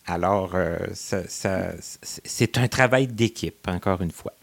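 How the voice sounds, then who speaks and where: A man's voice: low voice, takes it slowly, gravelly, a little hiss or hum. A man hosting a casual interview, chatty with many fillers and restarts. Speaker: interview host.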